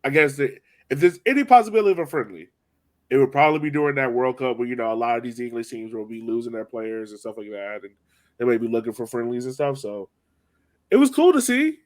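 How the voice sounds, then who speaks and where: A man's voice: medium pitch, animated, fast talker. A man hosting a sports podcast, chatting informally and slangily as a fan. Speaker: podcast host. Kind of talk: casual sports chat.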